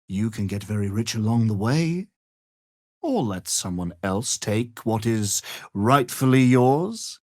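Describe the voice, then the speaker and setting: A man's voice: mid-range voice, dry recording, clean studio sound. An actor playing an arrogant, grandiose male wizard in a fantasy video game, with a pompous, condescending, theatrical manner. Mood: haughty, demanding.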